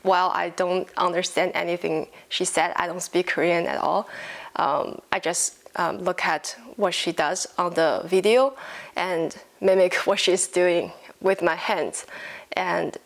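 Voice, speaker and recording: Female vlogger, 20s, Chinese accent, lowish pitch with vocal fry, informal unscripted chat. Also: fairly even intonation, a little slow. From China. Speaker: knitting podcast host. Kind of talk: casual chat to camera. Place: living room at home, indoors.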